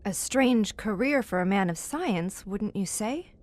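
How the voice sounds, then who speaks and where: A woman's voice: mid-range voice, lively intonation, measured pace, a little mellow, dry recording, close to an everyday voice. An actress playing an inquisitive, educated woman in an audio horror drama, articulate and formal as she probes for answers. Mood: probing. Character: inquisitive, educated woman questioning a veteran monster hunter. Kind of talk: theatrical audio drama acting.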